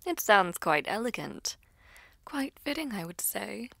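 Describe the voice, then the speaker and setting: A woman playing a poised, secretive female being in an audio roleplay, speaking formally and coyly in a breathy half-whisper. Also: medium pitch, very animated, fairly bright tone, no echo, studio quality, full character voice. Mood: intrigued, coy. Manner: slow, composed, formal, coy. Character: mysterious poised female alter-being within Serena, teasing, secretive. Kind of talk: audio roleplay acting, addressing the listener.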